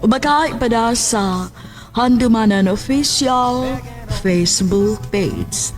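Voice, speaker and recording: A woman's voice: on the high side, takes it slowly, faint music behind. A woman with a Visayan Filipino accent reading scripted narration as a radio drama announcer.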